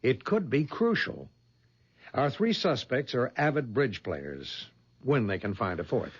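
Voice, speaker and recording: A husky, animated detective boss, muffled radio. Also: male voice, mid-range voice, measured pace. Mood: brisk, businesslike. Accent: American. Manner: businesslike, explanatory. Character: brisk male head of a detective agency briefing an agent. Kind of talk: 1970s American radio drama acting. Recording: dull lo-fi 1970s radio recording.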